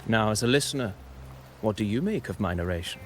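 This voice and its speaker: An actor playing a calm, enigmatic wandering poet in a video game, speaking in a measured, lyrical and formal way with deliberate pauses. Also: male voice, fairly low pitch, slight background noise, close to an everyday voice. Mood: wistful. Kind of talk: dramatic video game dialogue, poetic narration.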